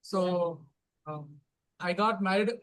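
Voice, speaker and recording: A middle-aged man from India with an Indian accent, hosting a casual English practice chat and speaking in a halting way that starts most lines with "so". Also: high-pitched, fairly bright tone, poor audio. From Telangana, India. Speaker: host.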